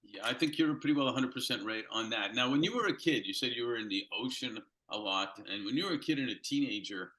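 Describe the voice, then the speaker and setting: Older guy hosting interviews, rambles, restarts his questions a lot, middle pitch. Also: quick pace, few pauses, sharp tone, rough recording. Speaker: host. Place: Los Angeles.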